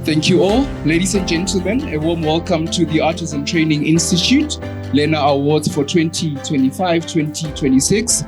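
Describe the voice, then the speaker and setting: A man's voice: on the high side, moderate pace, over music. A man from South Africa hosting an awards ceremony as program director, with polished, formal emcee delivery.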